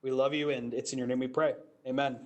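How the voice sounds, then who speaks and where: A pastor, a man, leading a spoken invocation at a council meeting, earnest and steady with some fillers. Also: medium pitch, quick pace. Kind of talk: spoken prayer.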